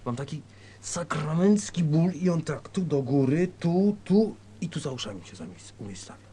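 A man's voice: on the high side, lively intonation, warm tone, a little hiss or hum, natural voice. A man playing a hesitant, whiny patient in a comic radio sketch, stammering as he explains his complaint. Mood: plaintive. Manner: halting, stammering. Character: hesitant, whiny male patient, timid and insistent.

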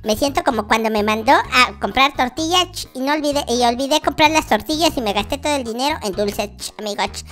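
A silly, naive little baby boy in a Minecraft roleplay. The voice is very high and bright, with a whiny, exclaiming delivery. It bounces animatedly in pitch while chatting to viewers in babyish words. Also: animated, brisk, few pauses, faint music behind. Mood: silly, chatty. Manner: childish diminutives, exclaiming, addresses viewers constantly. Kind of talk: in-character Minecraft roleplay commentary.

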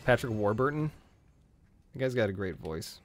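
A man with a hoarse voice, casually commenting on his gameplay and joking at the enemies on screen. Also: lowish voice, animated, normal speed, slight background noise. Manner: jokey, talks to enemies on screen. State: hoarse from screaming. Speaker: game streamer.

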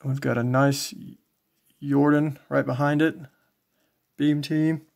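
Low-key man with a gravelly voice. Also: flat delivery, takes it slowly, a little mellow. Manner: low-key, folksy, thinking aloud. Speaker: trading card collector and video host. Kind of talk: casual unboxing commentary. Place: indoors, small home tabletop setup.

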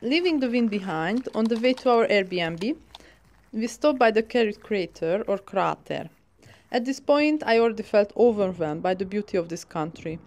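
A woman's voice: medium pitch, lively intonation, normal speed, darkish tone. Woman narrating a travel vlog. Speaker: travel vlogger.

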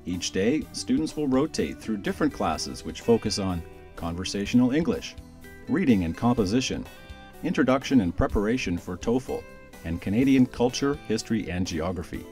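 A man reading a scripted promotional voice-over in a smooth, even delivery. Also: lowish voice, normal speed, soft background music. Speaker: promo narrator.

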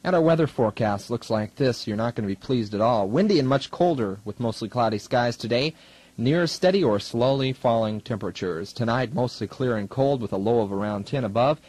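A man's voice: medium pitch, fairly quick, a little mellow, no echo, rough recording. A young American man working as a student radio DJ, announcing music and chatting in a casual, wry manner. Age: young adult. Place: radio studio. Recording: 1980s college radio aircheck tape.

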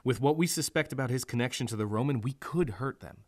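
Wry, mid-pitched lawman played by a man. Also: quick pace, lightly acted. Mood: sardonic. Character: cynical, driven male lawman with dark humor. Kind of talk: noir radio drama acting.

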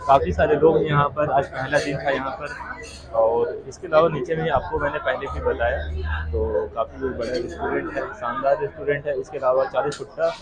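A man's voice: mid-range voice, steady tone, moderate pace. A young man in his twenties chatting casually as a vlogger, filming himself on a phone held at arm's length. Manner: chatty, repetitive, walks while filming. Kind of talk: casual vlog narration. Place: outdoors in city streets and a busy restaurant. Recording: dull lo-fi phone audio with crowd. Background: crowd chatter.

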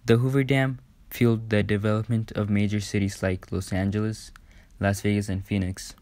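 A man's voice: on the low side, flat delivery, normal speed, a little mellow, dry recording. A man reading out a scripted newsreel narration. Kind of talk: scripted narration read aloud.

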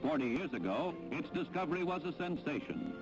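A man reading an archival radio news report in a brisk announcing manner. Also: fairly high pitch, animated, music in the background, poor audio.

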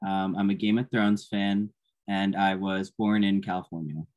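A man's voice: sits low, flat delivery, normal speed, a little mellow, dull audio. A man casually introducing himself and answering questions on a group video call.

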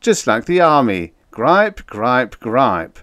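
A man's voice: on the low side, very animated, normal speed, dry recording, pitched above the performer's own voice. A man voicing a jovial, teasing spaceman with a slangy, wisecracking manner in a story reading. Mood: good-natured teasing.

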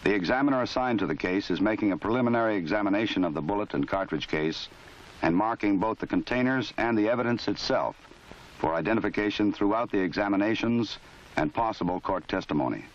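Low-voiced American man, dated training film narrator. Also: animated. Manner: measured, authoritative, matter-of-fact. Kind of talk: formal scripted documentary narration. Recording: dull lo-fi 1970s film soundtrack.

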